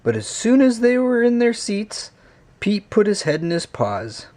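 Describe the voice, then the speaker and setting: A man narrating a children's read-along story in an expressive, swooping storytelling manner. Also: high voice, unhurried.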